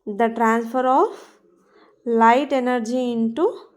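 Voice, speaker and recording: A woman's voice: a little high, fairly even intonation, slow, darkish tone. A woman with a South Indian accent giving a biology lesson in a didactic, emphatic way, repeating key terms. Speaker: biology teacher. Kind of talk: classroom lecture, explaining definitions.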